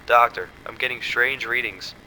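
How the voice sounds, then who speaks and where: An actor playing an uneasy research scientist in a horror audio drama, explaining findings in an earnest, tentative, analytical way. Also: male voice, mid-range voice, steady tone, normal speed, sharp tone, a little hiss or hum, low-quality recording. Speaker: scientist's assistant.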